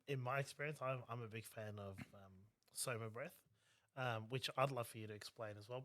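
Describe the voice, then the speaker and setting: Brief: New male podcast co-host, Māori speaker, animated and rambling, dry close mic. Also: mid-range voice, dry recording. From New Zealand. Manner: rambling, animated, many fillers. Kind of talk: casual podcast conversation.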